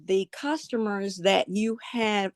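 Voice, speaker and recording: A woman's voice: medium pitch, takes it slowly, dry recording, studio quality. A woman with a Southern US accent, co-hosting a podcast, asking questions and murmuring agreement as her guest speaks. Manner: warm backchanneling with frequent mm-hmms. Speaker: podcast co-host. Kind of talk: casual interview chat.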